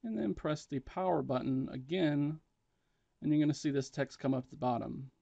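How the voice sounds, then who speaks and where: A man's voice: fairly high pitch, moderate pace, gravelly, warm tone, dry recording. Man giving a dry, muffled tech tutorial. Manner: plain, instructional, matter-of-fact. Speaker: tutorial presenter. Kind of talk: step-by-step spoken tech tutorial.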